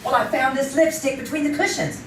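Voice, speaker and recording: A woman's voice: sits high, brisk, a bit bright, a little hiss or hum. An actress playing a stubborn, intuitive female sidekick in an old-time radio drama, with an insistent, knowing manner. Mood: sly, knowing. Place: community theater stage. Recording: roomy, distant live stage recording.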